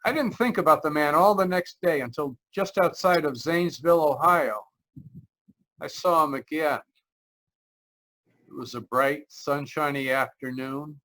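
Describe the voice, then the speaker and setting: A man's voice: on the high side, a little slow, fairly bright tone, rough recording. A man playing an uneasy motorist who tells his eerie road story in old-time radio mystery style, with a hesitant, dramatic delivery. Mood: haunted, brooding. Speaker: actor, lead narrator. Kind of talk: 1940s radio mystery acting. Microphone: remote video call.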